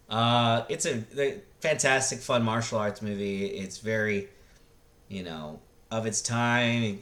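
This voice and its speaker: A man in his thirties with a lowish voice talks casually, as if reviewing favorites for his viewers. He is laid-back and slangy, often halting to search for words. The sound is roomy, with clear echo around the voice. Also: fairly bright tone. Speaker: video host reviewing films. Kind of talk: casual rambling commentary.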